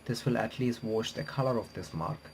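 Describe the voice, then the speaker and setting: A man reading visual novel text aloud haltingly, with casual reaction commentary. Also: mid-range voice, a little mellow, slight background noise. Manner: halting read-aloud, stumbling and rereading phrases. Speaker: let's-play video creator reading a visual novel aloud. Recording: game soundtrack playing behind the voice. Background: visual novel music.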